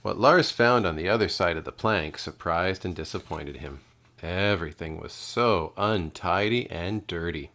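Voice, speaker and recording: A man's voice: deep voice, normal speed, pushed and bright. A man reading children's storybooks aloud expressively, like a kindergarten teacher.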